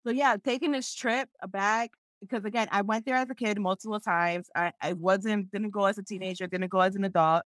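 A young woman talks at a quick clip in a close, dry recording. She tells her own story casually and candidly, leaning on slang and repeating phrases for emphasis. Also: medium pitch, fairly bright tone, no room sound. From Philadelphia, United States. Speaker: interview guest, entrepreneur. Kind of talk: casual personal storytelling in an interview.

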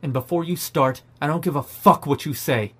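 A man's voice: fairly high pitch, moderate pace, fairly bright tone, slightly rough. A man playing a sarcastic, defiant, foul-mouthed zombie apocalypse survivor in an audio drama. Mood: angry, defiant. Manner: sardonic, profane. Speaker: narrator and lead character. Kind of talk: first-person audio drama narration and dialogue.

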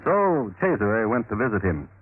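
A man acting as a wry, deadpan storyteller of historical crimes, narrating a classic radio drama with dry irony. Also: fairly low pitch, moderate pace. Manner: deadpan, dryly ironic. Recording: tinny old radio recording with loud music.

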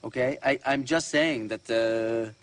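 A man's voice: medium pitch, big pitch swings, fairly quick, a bit bright. An actor playing a flustered, defensive man who stammers and repeats himself in film dialogue. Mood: flustered, exasperated. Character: flustered, defensive man arguing about money. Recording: distant, noisy film soundtrack clip.

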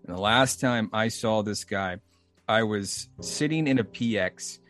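A man's voice: fairly low pitch, measured pace, fairly bright tone, faint music behind. A man in his thirties hosting a casual interview, rambling and laughing easily. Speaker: podcast host.

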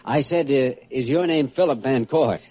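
An American man acting an earnest, considerate doctor in a classic radio drama, questioning gently and a little hesitantly. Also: mid-range voice, normal speed, natural voice. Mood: concerned. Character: earnest, considerate young male hospital doctor. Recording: muffled, noisy old radio recording.

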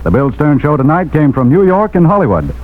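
A man's voice: on the high side, sing-song, brisk. A man announcing in 1940s radio commercial style, with an emphatic, polished salesman's delivery. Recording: tinny, narrow old radio recording.

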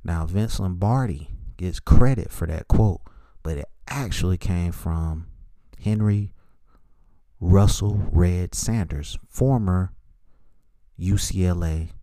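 A man's voice: low voice, takes it slowly, warm tone, dry recording, clean studio sound. A man hosting a solo sports podcast, giving opinionated commentary in a casual, conversational manner.

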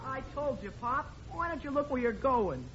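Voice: high voice, expressive, normal speed. A performer playing a sensible young son, a boy who protests hesitantly and doubtfully in a vintage American radio sitcom. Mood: skeptical. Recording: muffled, noisy old radio recording.

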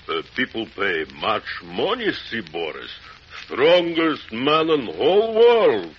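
A man's voice: medium pitch, huge pitch swings, slow pace. An actor playing a big, simple-minded, earnest Russian strongman, groping for English words in old radio style. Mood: naive pride. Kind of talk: 1940s radio acting. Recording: muffled, noisy old radio recording.

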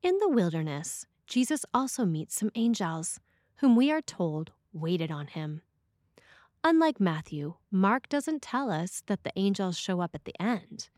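A woman with a mid-range voice delivers a prepared sermon. Her reading is measured and reflective. The recording is dry, with very little room sound around her. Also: medium pitch, big pitch swings, a little mellow, no room sound. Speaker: preacher reading a written sermon.

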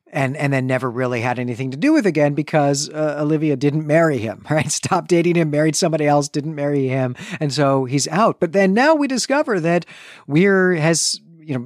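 A man's voice: a little high, dry recording, studio quality. A man co-hosting a podcast, discussing a novel in an earnest, conversational way and often checking in with "right?". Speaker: podcast co-host. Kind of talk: casual literary discussion.

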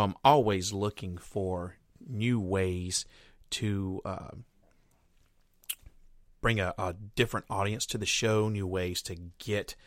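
A man's voice: on the low side, a bit bright, dry recording, clean studio sound. A man hosting his own podcast in a casual, rambling monologue with frequent fillers and restarts. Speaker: podcast host.

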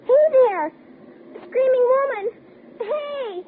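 Little girl in an old radio drama, super high voice, desperate n pleading, thin tinny sound. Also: expressive, slow pace, exaggerated voice. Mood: urgent. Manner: pleading, breathless urgency. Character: frantic young girl, earnest and insistent, desperate to be believed. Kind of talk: 1950s radio drama acting. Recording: noisy, tinny 1950s radio recording.

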